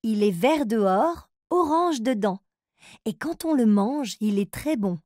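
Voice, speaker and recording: A woman's voice: on the high side, expressive, a little slow, warm tone, no echo, studio quality. A woman voicing a kind, encouraging grown-up who leads a riddle game for little children in a cartoon, speaking in simple phrases. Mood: kindly, explaining.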